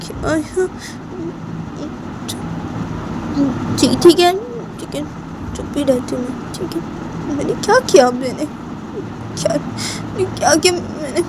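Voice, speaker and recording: A woman voicing a defiant young woman who protests in a very high, whiny voice, over traffic noise. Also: very animated, fairly quick, long pauses. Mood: sulky. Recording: noisy, traffic in background.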